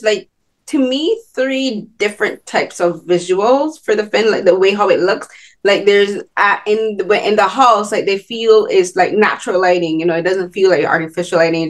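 A woman in her thirties with a Bajan accent, hosting an interview over a video call and thinking aloud with many fillers. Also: medium pitch. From Barbados. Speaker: podcast host. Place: home with virtual beach background.

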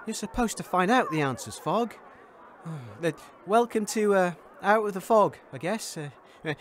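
An actor playing an exasperated radio presenter in a comedy radio play, with a halting, awkward delivery. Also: male voice, high-pitched, expressive, a little hiss or hum. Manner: halting, awkward, put-upon.

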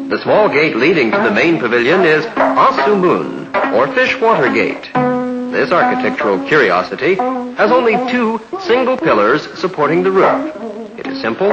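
A middle-aged man giving formal, measured 1950s newsreel narration. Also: a little high. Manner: measured, formal announcer delivery. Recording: dull lo-fi 1950s newsreel soundtrack with loud music. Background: loud orchestral music.

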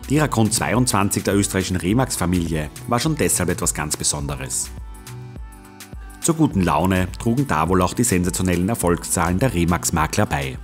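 A man's voice: low-pitched, expressive, fairly quick, soft background music. A man reading scripted voice-over narration for an event report in an upbeat promotional way.

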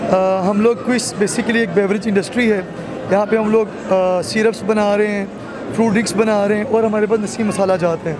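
A man's voice: sits high, steady tone, normal speed, over music, crowd noise. A man in his thirties with a Pakistani accent, a company representative answering business questions in an interview into a handheld microphone. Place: busy indoor expo hall. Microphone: handheld reporter microphone. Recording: noisy crowded exhibition hall.

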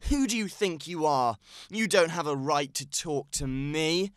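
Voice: medium pitch, lively intonation, a little slow, very noisy, natural voice. A performer voicing a conceited, scornful male cartoon fox with a haughty, dismissive manner.

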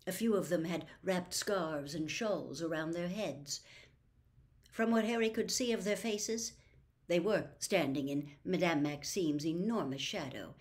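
A woman in her sixties reading a novel aloud expressively, with deliberate, dramatic phrasing. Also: on the low side. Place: small room at home with bookshelves.

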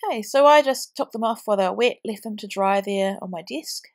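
A woman's voice: medium pitch, fairly quick. A woman in her thirties, heavily pregnant and tired, chatting casually with a blocked, snuffly nose while narrating her scrapbooking. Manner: chatty, rambling with ums. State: stuffy nose from a cold. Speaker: scrapbooking vlogger. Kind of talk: casual craft voice-over.

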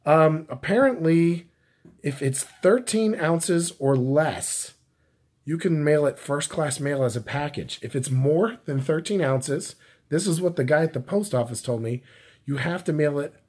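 Husky, rambling man vlogging casually. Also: on the high side, lively intonation, unhurried, darkish tone. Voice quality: husky, scratchy. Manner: rambling, full of fillers and restarts. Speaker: YouTube vlogger. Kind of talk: casual talk to camera, explaining postage prices.

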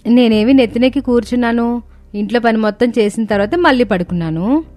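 A woman playing a cheerful, eager young city-bred daughter-in-law in an animated moral story. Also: on the high side, fast talker, lightly acted. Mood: indignant. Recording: music bed under the voice.

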